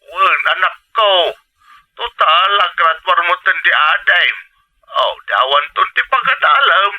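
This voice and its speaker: A man's voice: sits high, expressive, unhurried, dull audio. A man with a Filipino accent playing an older father in a radio drama, coaxing and persuasive as he asks for things. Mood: coaxing, pleading. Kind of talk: Ilocano radio drama acting.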